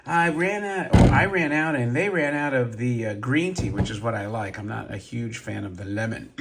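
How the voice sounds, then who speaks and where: A man with a hoarse, cold-roughened voice, hosting a casual show-and-tell vlog and chatting in a rambling way with many asides. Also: medium pitch, moderate pace, noisy background. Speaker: toy robot collector and channel host.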